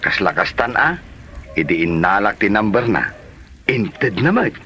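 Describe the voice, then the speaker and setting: A man's voice: fairly low pitch, big pitch swings, a little slow, pushed and bright, slight background noise, exaggerated voice. A man playing a young mechanic and suitor in a comedy drama, by turns boastful and awkwardly deferential. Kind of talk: Ilocano comedy drama acting.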